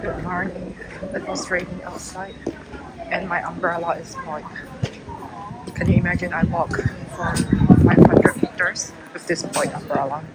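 A woman's voice: on the low side, level tone, a little slow, very noisy. A woman filming a casual vlog on her phone as she walks around a city, talking in a chatty way and exclaiming often. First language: not Korean. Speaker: vlogger. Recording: loud music behind.

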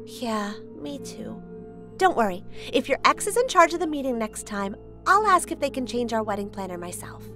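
A woman's voice: fairly high pitch, sing-song, moderate pace, pushed and bright, soft background music, exaggerated voice. A woman playing a sweet, devoted young fiancée in a manga dub, with an earnest, bubbly manner. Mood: reassuring.

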